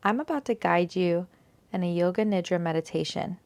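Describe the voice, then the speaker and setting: A woman teaching a guided yoga nidra meditation in a soothing, unhurried, gentle manner, leaving long pauses between phrases. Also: fairly low pitch, creaky.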